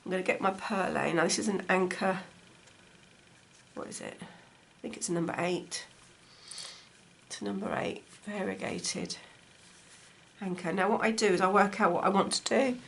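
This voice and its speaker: Croaky woman chatting through a craft demo. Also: mid-range voice, fairly even intonation, normal speed, long pauses, a bit bright. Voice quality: slightly gravelly. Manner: chatty, talks while stitching. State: croaky from a cold. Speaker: textile crafts teacher. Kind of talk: casual craft tutorial. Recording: faint appliance hum behind. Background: dishwasher running.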